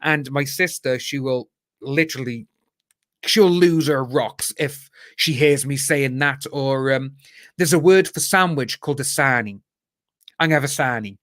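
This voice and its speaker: A man's voice: medium pitch, unhurried, a bit bright, no echo, studio quality. A man in his thirties from Wales with a Cardiff accent, chatting as a guest on a video call, jokey, laughing often and calling people "mate". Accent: Cardiff Welsh. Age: 30s. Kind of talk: casual chat.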